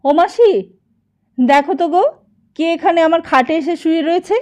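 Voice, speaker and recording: A woman's voice: very high-pitched, moderate pace, darkish tone, voice pushed up. A woman voicing a startled young woman in an audiobook, crying out in colloquial exclamations of alarm. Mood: frightened, shocked.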